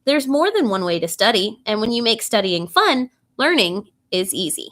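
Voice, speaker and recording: A woman reading a children's bedtime story aloud in an expressive, animated way, putting questions to young listeners. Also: mid-range voice, normal speed.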